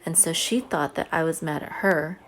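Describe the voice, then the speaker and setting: A woman's voice: deep voice, normal speed, a little hiss or hum. A homeschooling mother telling a personal story to camera in a chatty, earnest way. She says she has a big voice.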